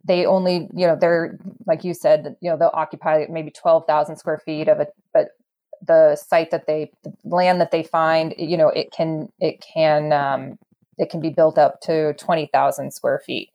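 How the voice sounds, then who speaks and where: A woman guest explaining real estate matters in a conversational interview, thoughtful and halting as she works through her points. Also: deep voice, little pitch movement, mellow.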